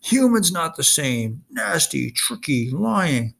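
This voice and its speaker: A man voicing a savage old male Cyclops monster in a storybook, bellowing crudely in broken, drawn-out words. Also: a little high, sing-song, creaky, fairly bright tone, husky, voice pushed up, exaggerated voice. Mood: spiteful.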